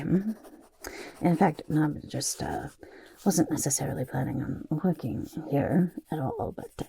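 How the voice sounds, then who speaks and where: A woman voicing a smug, eccentric goblin portrait artist in an ASMR roleplay, raspy and muttering, with halting speech that often drops into whispers. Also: extremely low pitch, mellow, full character voice. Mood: smug, chatty. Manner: halting, muttering, refers to self in third person. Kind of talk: ASMR roleplay, personal attention.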